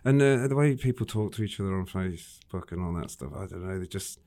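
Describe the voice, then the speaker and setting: A man's voice: sits low, animated, quick pace, warm tone. A man, a guest on a radio show, speaking earnestly and hesitantly, with frequent restarts. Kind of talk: casual radio chat.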